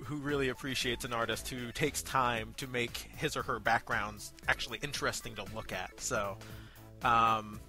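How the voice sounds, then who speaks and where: A man with a very bright, forward voice at a middling pitch, talking through a review as co-host. He is measured and polite, pausing often with hesitations, over background music. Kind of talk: conversational review commentary.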